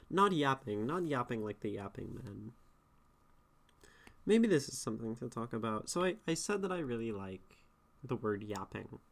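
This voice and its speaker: A woman's voice: extremely low pitch, very animated, a little slow, vocal fry, long pauses. A woman streamer chatting casually, reading and answering her chat in a thoughtful, rambling way with frequent restarts. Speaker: streamer and poetry critic.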